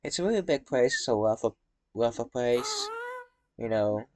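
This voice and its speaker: A young man from Indonesia narrating a tour of his game world in a halting, rambling way, tired from talking. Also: medium pitch, huge pitch swings, darkish tone, slight background noise. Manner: halting, rambling, many fillers and restarts. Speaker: Minecraft world tour vlogger. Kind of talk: casual gameplay walkthrough narration.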